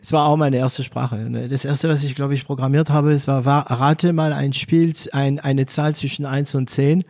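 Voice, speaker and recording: A man hosting a podcast interview, chatty and quick to laugh, asking loose, rambling questions. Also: medium pitch, steady tone, a little mellow, no echo, clean studio sound. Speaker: podcast host. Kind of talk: casual interview chat.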